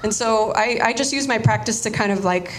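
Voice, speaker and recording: A woman's voice: fairly high pitch, fairly quick, a little hiss or hum, rough recording. A Cuban-American woman whose first language was Spanish, giving a casual artist talk and often restarting her sentences. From United States, Cuban-American family.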